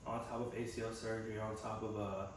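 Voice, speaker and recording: A man's voice: lowish voice, flat delivery, quick pace. A young man talking casually in a personal vlog, reflective and rambling as he thinks aloud in an echoey room. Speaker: vlogger and physical therapy assistant student. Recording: echoey room from a distance, faint noise.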